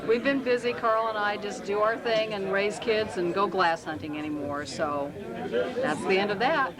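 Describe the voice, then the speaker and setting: A woman's voice: mid-range voice, normal speed. A woman giving a wry, offhand introduction of herself at a club banquet. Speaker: amateur radio operator. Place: crowded banquet hall. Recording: banquet crowd chatter behind.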